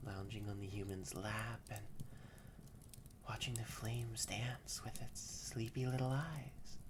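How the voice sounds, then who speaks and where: A young adult performer voicing a haughty, grumpy yet secretly shy catboy in an intimate roleplay audio drama, stammering and often slipping into breathy near-whispers. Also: mid-range voice, fairly even intonation, slow, background noise. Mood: drowsy, soft.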